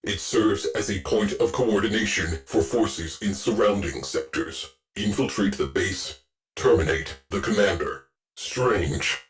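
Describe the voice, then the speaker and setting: A man playing a gruff, sardonic shipboard AI officer in a video game, giving clipped, deadpan military orders. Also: on the low side, a little slow, faint music behind, natural voice. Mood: sardonic, businesslike. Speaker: ship's AI crew officer. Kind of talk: scripted video game voice lines.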